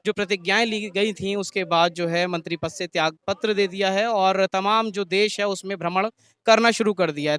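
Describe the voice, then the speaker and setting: Brief: male online news host, high smooth voice, quick questions, dry close mic. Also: a bit bright. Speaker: news interviewer and channel host. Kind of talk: street news interview.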